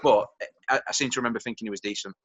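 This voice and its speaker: A man's voice: on the low side, fairly even intonation, racing. A man chatting casually as a team manager, reacting with enthusiasm while reviewing rap battles. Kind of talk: casual chat.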